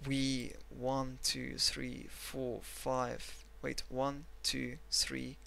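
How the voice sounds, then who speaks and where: A man with a Russian accent walks through market charts at his desk, rambling and self-mocking. His voice is gravelly and bright, recorded on a desk mic. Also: mid-range voice, monotone, drawn-out words, slight background noise. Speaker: trading analyst. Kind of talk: screen-recorded chart analysis.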